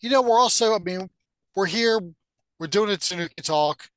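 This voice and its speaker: Higher-voiced man in casual podcast chat. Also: moderate pace, fairly bright tone, slight background noise, low-quality recording. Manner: informal, sweary. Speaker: podcast co-host. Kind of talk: casual chat about movies and video games.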